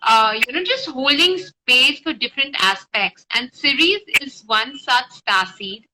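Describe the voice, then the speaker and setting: A woman's voice: high-pitched, lively intonation, a little slow. A woman spiritual guide talking informally and explaining things on a live stream, recorded on a phone with faint noise. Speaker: spiritual guide and meditation teacher.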